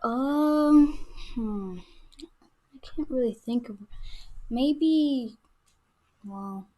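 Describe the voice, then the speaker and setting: A woman chatting casually as a podcast guest, informal and halting as she thinks aloud. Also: sits high, warm tone. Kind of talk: casual chat.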